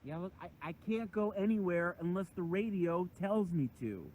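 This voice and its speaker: A man playing a laid-back, eccentric area man who talks calmly and a little haltingly. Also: high-pitched, slight background noise, low-quality recording. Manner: calm, unbothered, halting. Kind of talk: comedic sketch acting.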